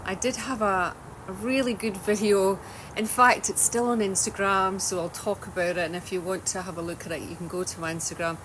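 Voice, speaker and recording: A woman's voice: medium pitch, fairly even intonation, brisk, background noise. A woman with a Scottish accent, a wellness coach talking casually on a livestream, loose and chatty and often restarting her sentences. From Scotland. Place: home in Australia.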